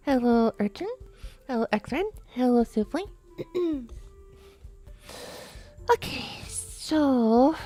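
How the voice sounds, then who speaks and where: Woman, sleepy streamer, quiet soft voice, mellow tone, slightly high, faint music behind. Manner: soft, quiet, drowsy talk with fillers. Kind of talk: sleepy late-night chat stream.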